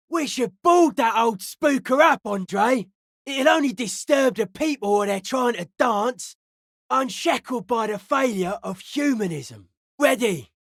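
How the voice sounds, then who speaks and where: A young counterculture club kid for a video game. He talks slowly in a slangy, deadpan drawl, earnest and easily spooked. The sound is dry, with no room around it. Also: very high-pitched, dry recording, heavily acted. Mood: agitated, insistent.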